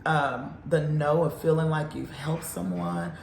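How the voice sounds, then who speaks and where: A woman's voice: sits low, fairly even intonation, a little slow, creaky, a little mellow, roomy sound, a little hiss or hum. A woman in her fifties, a counselor, giving an earnest, encouraging faith talk. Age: 50s.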